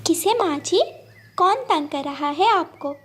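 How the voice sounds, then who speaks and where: A woman's voice: very high voice, animated, a little slow, pitched above the performer's own voice. A woman voicing a kind, helpful little female cartoon bird, polite and curious.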